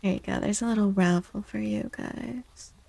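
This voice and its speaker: Soft-spoken woman with a creaky, mellow voice. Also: medium pitch, steady tone, normal speed, no echo. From United States, born to Mexican parents. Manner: gentle, encouraging, replying to viewers. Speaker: VTuber streamer chatting with viewers. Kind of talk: soft-spoken ASMR casual chat. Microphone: close, dry microphone.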